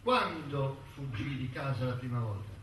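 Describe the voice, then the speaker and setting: A man's voice: mid-range voice, animated, normal speed, a bit bright, a little hiss or hum, close to an everyday voice. An actor playing a probing man who questions and recounts a court case in a formal, pointed stage manner. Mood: cool, probing. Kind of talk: stage drama dialogue. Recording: roomy distant stage recording with music. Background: music.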